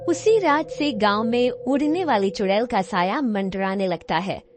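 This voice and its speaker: A woman's voice: mid-range voice, expressive, unhurried, over music. A woman reading scripted story narration as the narrator of a tale.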